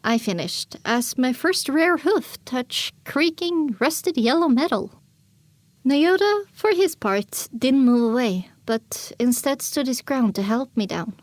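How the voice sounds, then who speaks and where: A woman playing a young pony mare who tells her own story in earnest, measured audiobook narration. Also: sits high, very animated, no echo, exaggerated voice. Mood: attentive, measured. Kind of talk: scripted first-person audiobook narration.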